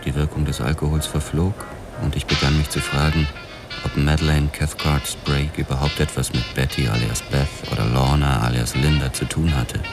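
A brooding detective. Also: male voice, a bass voice, level tone, over loud music. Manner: brooding, measured narration. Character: male detective narrating in first person. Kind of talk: hardboiled first-person narration.

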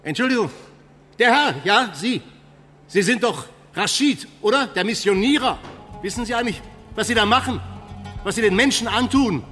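A man's voice: lively intonation, moderate pace, long pauses, pushed and bright. An actor playing an agitated, well-dressed German man in his sixties in a radio drama. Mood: outraged. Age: 60s. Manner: hesitant and stammering, then shrill and accusing. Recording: long reverb, music behind.

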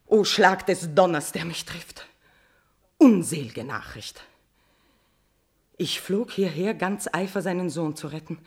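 A woman's voice: fairly low pitch, lively intonation, takes it slowly, pauses often, a little mellow, husky, heavily acted. Anguished, impassioned tragic queen. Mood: stricken. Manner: declamatory, impassioned, dramatic. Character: anguished, passionate queen tormented by guilty love. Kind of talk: classical verse tragedy radio play.

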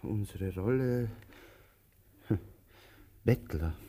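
A man acting a patient, stubborn tramp in a radio play, speaking in a measured, dry way to his companion. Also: lowish voice, warm tone, slightly rough, exaggerated voice. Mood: puzzled, resigned. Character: patient, stubborn tramp, protective companion, slow-witted but hopeful. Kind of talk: theatrical radio play dialogue.

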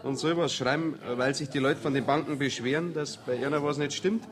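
An actor playing a polite, hesitant small-town newspaper reporter in a radio play. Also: male voice, on the high side, fairly quick, lightly acted. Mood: polite, tentative. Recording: 1979 radio play, crowd behind, off-mic.

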